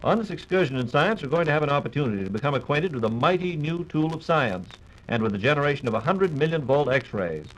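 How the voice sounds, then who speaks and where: A man's voice: fairly high pitch, lively intonation, fast, few pauses, a little hiss or hum, low-quality recording. An American man presenting a scripted 1940s radio program and putting questions as its host.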